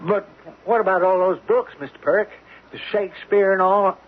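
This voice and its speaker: High-voiced, nervous, naive crewman. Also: fairly even intonation, normal speed, phone-quality sound. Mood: puzzled. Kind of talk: radio drama acting. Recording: thin, noisy vintage radio recording.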